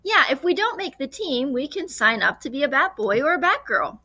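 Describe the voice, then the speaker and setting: A woman's voice: high voice, sing-song, normal speed, pushed and bright, voice pushed up, exaggerated voice. A woman voicing an eager, earnest young boy adventurer in a children's storybook.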